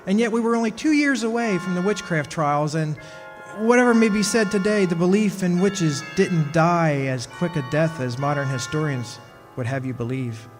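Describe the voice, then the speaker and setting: An actor playing a wry, centuries-old henpecked husband of a witch in a radio play, telling his story in measured dramatic style. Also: fairly high pitch, lightly acted. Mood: wry, reminiscing. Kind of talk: dramatic first-person radio play narration. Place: stage performance in a community club hall. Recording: echoey hall, music behind.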